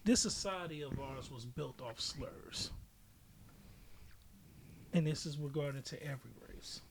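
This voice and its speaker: A man's voice: fairly high pitch, unhurried, vocal fry, a crowd in the background. A man hosting a podcast in casual chat, talking in an opinionated, profane and rambling way. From military family, lived in Japan growing up. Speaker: podcast host.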